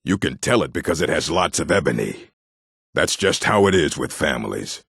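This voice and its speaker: Gruff proud orc from a fantasy game, super gravelly, blunt and stern, really swings up and down. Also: male voice, very animated, normal speed, a bit bright, no echo, clean studio sound, exaggerated voice. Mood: plain, brooding. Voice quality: deep, gravelly, husky. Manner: blunt, stern, terse, solemn. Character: gruff, proud, hostile male orc of a stronghold. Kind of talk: fantasy video game character lines.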